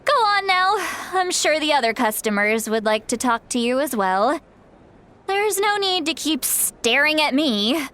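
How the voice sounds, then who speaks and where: A woman's voice: sits high, sing-song, a bit bright, heavily acted. A woman voicing an ancient, self-mocking sorceress in a video game who still sounds like a young woman. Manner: unhurried, teasing, self-mocking. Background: game music.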